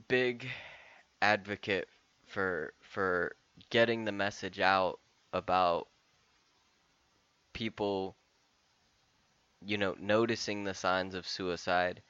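Man of thirty musing, bright and clear. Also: deep voice, slow pace, long pauses, no echo, muffled recording. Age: 30s. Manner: rambling, frequent restarts and swearing. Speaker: podcast host. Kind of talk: casual personal monologue.

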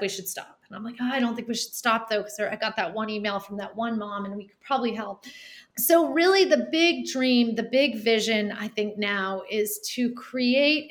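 A woman in her forties, a podcast guest speaking animatedly, laughing often and acting out little quotes. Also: on the high side. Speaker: guest, nonprofit founder. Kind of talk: podcast interview.

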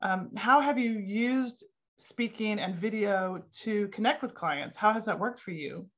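A woman hosting a podcast interview, enthusiastic and quick to laugh. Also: medium pitch, fairly bright tone, dull audio. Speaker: podcast host. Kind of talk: casual interview conversation.

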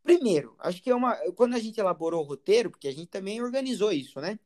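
A man's voice: high-pitched, animated, quick pace, a little mellow. A man with a Brazilian accent hosting a conversational interview, circling around his point as he talks. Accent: Brazilian Portuguese. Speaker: interviewer, psychologist and English teacher.

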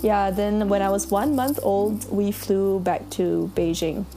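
A woman's voice: medium pitch, fairly even intonation, measured pace, over music. A young woman who grew up between Singapore and China, co-hosting a casual podcast, giggly and telling stories with fillers. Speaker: podcast co-host. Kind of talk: casual chat. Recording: faint laptop fan noise.

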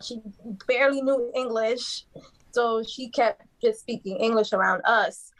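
Dominican-born woman, Spanish first language, casual storyteller, slightly high voice, easy laughs. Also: measured pace, fairly bright tone, low-quality recording. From Dominican Republic. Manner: chatty, laughs often while telling stories. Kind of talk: casual family chat.

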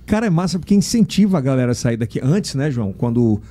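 Fast, slangy Brazilian podcast host. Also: male voice, medium pitch, very animated, warm tone. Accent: Piauí Portuguese. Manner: informal, slangy, leading questions. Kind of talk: casual podcast interview. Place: podcast studio.

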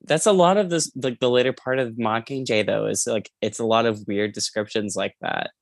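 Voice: medium pitch, moderate pace, creaky, dry recording, clean studio sound. A podcast co-host casually discussing books in an emphatic way, often repeating phrases.

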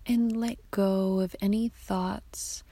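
A woman guiding a yoga nidra meditation in a soft, hushed, half-whispered voice, giving calm, soothing instructions. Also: mid-range voice, takes it slowly, no room sound. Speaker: meditation guide.

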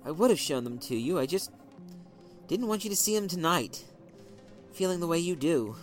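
A man voicing a frightened young wife who pleads in a high, strained voice. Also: animated, normal speed, faint music behind, lightly acted. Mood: defensive.

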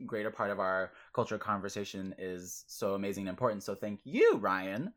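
A man's voice: lowish voice, lively intonation, fairly quick, fairly bright tone. A man hosting a podcast, talking earnestly and casually with many fillers and restarts. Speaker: podcast host. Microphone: podcast mic.

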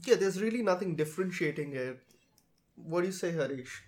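A man's voice: sits high, lively intonation, a little fast, a little mellow. A man, chatting casually as a tech podcast host.

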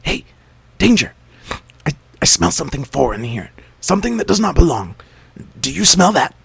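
A man voicing a nervous male jackal servant who yelps in high, panicky cries. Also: big pitch swings, normal speed, vocal fry, fairly bright tone, breathy edge, no echo, heavily acted. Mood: alarmed.

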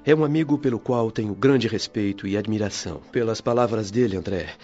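An actor playing a courteous, modest male guide speaking softly in a radio drama. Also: lowish voice, fairly quick, dull audio, faint music behind. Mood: gentle. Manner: soft-spoken, courteous, humble.

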